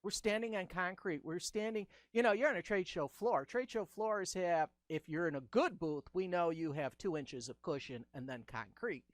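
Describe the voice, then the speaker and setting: A middle-aged man hosting a casual video-call chat, with a high-pitched, squeaky voice and a chatty, enthusiastic way of going down his list. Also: normal speed, hardly any pauses, no room sound. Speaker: host.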